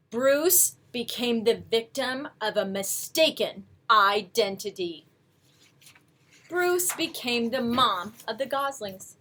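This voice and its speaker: A woman storyteller reading a picture book aloud to young children, with expressive, coaxing asides to her listeners. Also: high voice.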